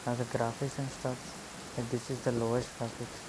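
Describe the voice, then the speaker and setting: A gamer giving casual, halting, musing gameplay commentary in a murky, muffled voice through an earphone mic. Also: mid-range voice, flat delivery, noisy background. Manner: halting, musing, many hums. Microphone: phone earphone mic.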